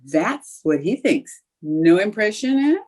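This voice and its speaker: A woman's voice: medium pitch, very animated, slow, darkish tone. A woman playing a scheming, melodramatic young housewife in an old-time radio comedy, with theatrical delivery.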